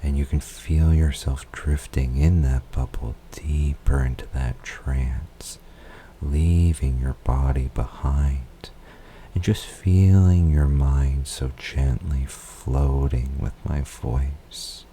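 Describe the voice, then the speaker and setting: Deep flat guy doing a hypnosis countdown, soft and whispery, faint hiss behind. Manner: soft, soothing, drawn-out with whispered phrases. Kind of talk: slow guided hypnosis countdown.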